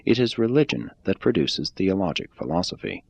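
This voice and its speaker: Deep, husky man reading a religious text. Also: big pitch swings, brisk, no echo. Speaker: reader.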